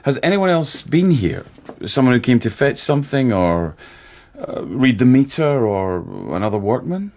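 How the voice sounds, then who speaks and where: An actor playing a genial, food-loving police detective in a naturalistic radio drama, easygoing and gently probing. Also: male voice, medium pitch, big pitch swings, normal speed, lightly acted. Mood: friendly, probing.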